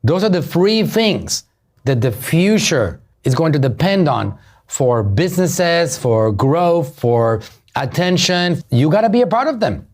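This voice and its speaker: A man's voice: a little high, sing-song, a little slow, darkish tone. A middle-aged man with a Puerto Rican accent, a marketer hosting a podcast, giving an emphatic motivational business talk that repeats key phrases and asks the listeners questions. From Puerto Rico.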